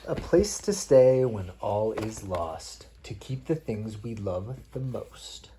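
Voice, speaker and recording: A man reading picture books aloud as a father at bedtime, playfully pausing to chat with his child. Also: on the low side, animated, dark tone, slight background noise, poor audio. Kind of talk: read-aloud picture book storytelling mixed with casual chat.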